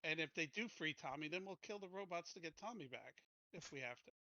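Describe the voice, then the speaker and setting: A man's voice: fairly high pitch, expressive, brisk, bright, forceful tone, dry recording, muffled recording. A man playing a tabletop roleplaying game, planning moves casually in a blunt, informal way.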